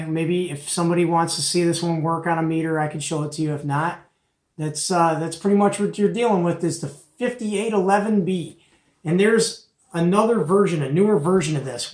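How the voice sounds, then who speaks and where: A middle-aged man giving a casual, folksy and opinionated product show-and-tell as the host. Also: fairly high pitch. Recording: echoey, roomy home recording.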